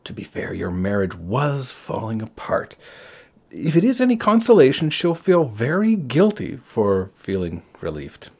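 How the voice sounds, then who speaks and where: A kindly, ageless God of a storybook, acted by a man. He talks slowly in a mid-pitched, very expressive voice, offhand and reassuring about the biggest matters. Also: vocal fry, slightly rough, heavily acted. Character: casual, matter-of-fact, kindly God, ageless authority figure. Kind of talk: storybook character voice.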